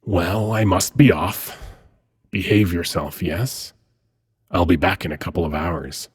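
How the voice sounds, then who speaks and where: Guy doing a suave demon prince for asmr, super breathy deep voice, slow coaxing teasing talk. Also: fairly even intonation, exaggerated voice. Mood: calm, amused. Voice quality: husky, breathy. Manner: slow, hushed, dramatic pauses, coaxing. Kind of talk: ASMR roleplay, intimate second-person monologue.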